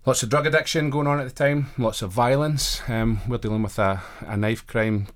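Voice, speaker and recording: A man's voice: medium pitch, moderate pace. A man in his thirties with a Glaswegian accent, a college chaplain telling his life story as a guest in a radio studio, earnest with wry self-deprecating humor. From Scotland. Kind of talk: conversational interview storytelling.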